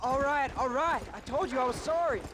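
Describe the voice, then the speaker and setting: A man's voice: extremely high pitch, a little fast, background noise. A young man playing a defiant, sulky teenage farm boy in a video game, speaking with an American accent in a sullen, protesting way. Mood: sullen, apologetic. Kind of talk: video game cutscene acting.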